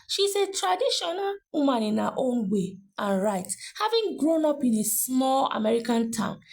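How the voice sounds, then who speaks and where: Female narrator, high lively voice with a creaky edge, for dramatic scripted storytelling.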